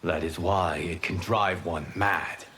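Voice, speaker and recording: A man voicing a calm, solemn god in a video game, formal, measured and grave, speaking as a wise keeper of time. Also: low voice, heavy background noise. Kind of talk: video game fight-intro dialogue.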